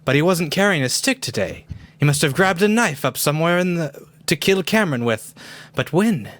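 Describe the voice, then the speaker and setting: A man playing a bluff, excitable upper-class British gentleman with theatrical exclamations in an amateur radio play. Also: on the high side, normal speed, no echo. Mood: grave, urgent. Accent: put-on British. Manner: theatrical, old-fashioned exclamations.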